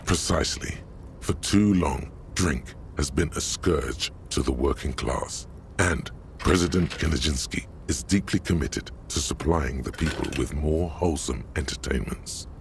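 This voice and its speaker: An actor playing the dry, erudite inner voice of a detective's mind in a video game, measured and drily ironic, with a gravelly tone. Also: male voice, a little hiss or hum, exaggerated voice. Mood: drily earnest. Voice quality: very deep, gravelly. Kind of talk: scripted narration of a video game. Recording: music behind. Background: game music.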